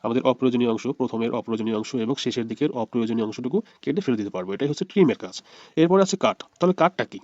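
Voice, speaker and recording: Male tutorial narrator, extremely quick delivery, explains step by step, close dry recording. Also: mid-range voice, darkish tone, dry recording, dull audio. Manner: explanatory, repeats points step by step. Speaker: video editing instructor.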